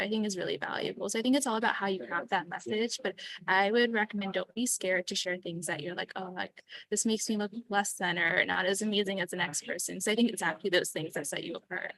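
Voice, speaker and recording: A woman's voice: mid-range voice, no echo, poor audio. A young woman in her twenties, a medical student giving earnest, encouraging advice on a panel over a video call. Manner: warm, encouraging, fast-flowing.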